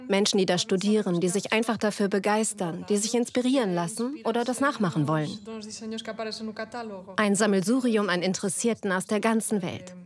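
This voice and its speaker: A woman's voice: mid-range voice, fairly quick, close to an everyday voice. Woman voicing an earnest female heritage preserver. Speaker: voice-over for documentary interviewee. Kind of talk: translated documentary interview voice-over.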